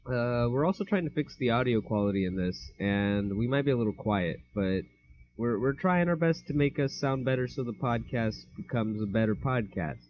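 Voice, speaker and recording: A man's voice: mid-range voice, expressive, normal speed. A young man co-hosting a podcast, chatting casually and rambling, often laughing and mixing up his words. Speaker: podcast co-host. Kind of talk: casual chat. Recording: dull lo-fi home mic.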